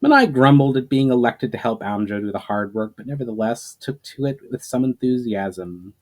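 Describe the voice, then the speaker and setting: A man narrates an adventure story in a dramatic reading. His voice sits in a middle register, and his delivery is expressive and deliberate, like storybook narration read aloud. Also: medium pitch. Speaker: author reading their own story aloud.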